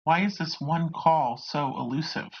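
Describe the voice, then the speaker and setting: A narrator with a raspy voice hosting a true crime video, reading from a script on a dull home recording. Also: mid-range voice, a little slow.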